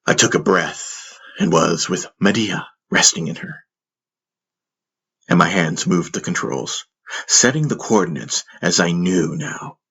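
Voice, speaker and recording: A man narrating a fantasy audiobook in the first person, with solemn, dramatic storytelling and a husky voice. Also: deep voice, fairly bright tone.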